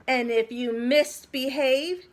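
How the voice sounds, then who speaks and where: A woman's voice: high voice, takes it slowly. A woman voicing a wicked, witchlike substitute teacher in a children's storybook, snapping orders and threats in a bossy manner. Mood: stern, threatening. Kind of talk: children's storybook read-aloud.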